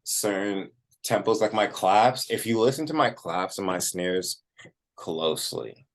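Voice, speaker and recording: A man's voice: lowish voice, unhurried. A man, a musician being interviewed, talking casually and informally with "like" dropped in often. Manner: informal, frequent "like" as filler.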